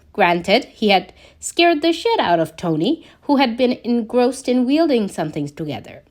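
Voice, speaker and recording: A woman reading a scripted story aloud as a narrator, in an expressive storytelling way. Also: fairly high pitch. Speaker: podfic narrator reading fan fiction.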